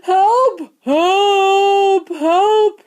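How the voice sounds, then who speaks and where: A woman's voice: fairly low pitch, takes it slowly, darkish tone. A woman voicing a greedy, gluttonous little boy in a children's storybook, with exclamations. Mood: panicked.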